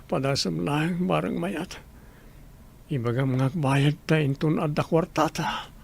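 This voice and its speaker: A man's voice: a little high, unhurried, vocal fry, darkish tone, slightly rough, exaggerated voice. A man playing a meek, pleading character short of money, with an Ilocano accent, in a radio drama.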